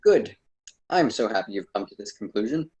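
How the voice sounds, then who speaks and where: A man's voice: lowish voice, big pitch swings, normal speed, mellow, breathy edge, full character voice. A man playing a wise, wordy poet mentor with a formal, didactic manner in an amateur student radio drama. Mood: firm advising.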